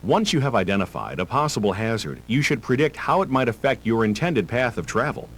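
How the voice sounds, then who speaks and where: Male instructional narrator with lively intonation. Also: mid-range voice. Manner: measured, instructional delivery. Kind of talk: scripted driver-education video narration. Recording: hum and hiss of old tape.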